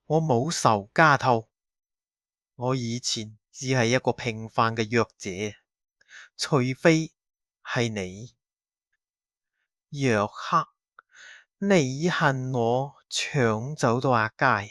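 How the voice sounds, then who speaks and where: A man's voice: medium pitch, slow pace, long pauses, fairly bright tone, no room sound, clean studio sound. A man acting a haunted, bitter fugitive in an audio drama, with a brooding delivery. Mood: bitter suspicion. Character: haunted, bitter man hiding for thirty years, resigned.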